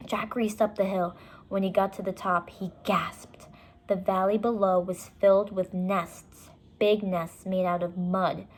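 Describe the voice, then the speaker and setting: Slow, deliberate woman reading a children's story. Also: mid-range voice, little pitch movement. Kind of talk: expressive read-aloud narration.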